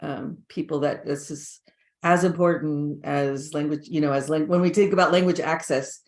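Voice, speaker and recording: A trainer speaking casually in a training discussion, relaxed with many fillers. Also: medium pitch, normal speed.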